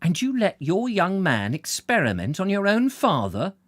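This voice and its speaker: A man voicing a sharp, protective middle-aged mother who demands answers. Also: high-pitched, huge pitch swings, moderate pace, voice pushed up, full character voice.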